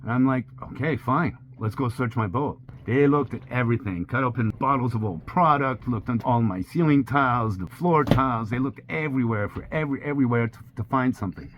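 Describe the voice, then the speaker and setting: A man's voice: mid-range voice, a little fast, hardly any pauses. A man in his sixties whose first language is French, candidly recounting his own ordeal as a sailing vlogger. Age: 60s. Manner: plain, candid retelling with steady pacing. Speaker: sailor vlogger. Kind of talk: personal storytelling narration. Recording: slightly dull with faint hiss.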